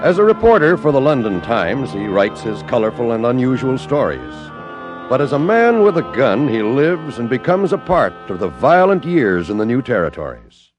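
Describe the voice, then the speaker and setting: An actor playing a dramatic announcer, declaiming the introduction to a fifties radio western. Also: male voice, medium pitch.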